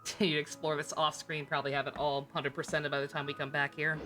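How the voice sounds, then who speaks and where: Tired game streamer, bright medium-pitched voice, rambling, casual, sweary, background music. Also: little pitch movement, fast talker. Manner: rambling, thinking aloud, profane. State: tired, short on sleep. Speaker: game livestreamer. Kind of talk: casual livestream chat to viewers.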